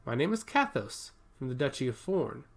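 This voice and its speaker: A man's voice: mid-range voice, very animated, normal speed. A man playing a forward, self-assured young nobleman in a fantasy story, with courtly, florid phrasing. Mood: flattering, smitten.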